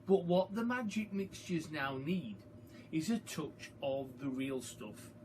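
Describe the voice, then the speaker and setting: Man playing George, an excitable, mischievous schoolboy. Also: a little high, slow, a little hiss or hum. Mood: gleeful plotting. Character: excitable, mischievous young boy George, plotting against grandma.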